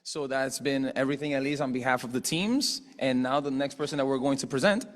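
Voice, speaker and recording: A man's voice: mid-range voice, normal speed. Guy interpreting the announcements, mid voice, echoey hall. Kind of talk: consecutive interpreting.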